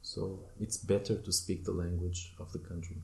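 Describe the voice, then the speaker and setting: A middle-aged man, a guest answering interview questions in a reflective, measured way with pauses and fillers. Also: low-pitched, flat delivery, gravelly, a little mellow, echoey room. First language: Portuguese. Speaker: podcast guest, former German teacher.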